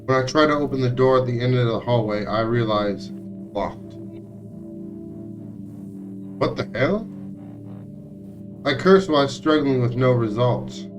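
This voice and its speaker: A man streaming a visual novel, reading its text aloud in a somewhat halting way with occasional stumbles. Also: mid-range voice. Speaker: game streamer.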